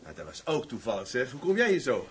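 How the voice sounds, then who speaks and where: An actor playing a hearty, bluff sea captain in a drama, familiar and brisk. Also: male voice, mid-range voice, huge pitch swings, slight background noise.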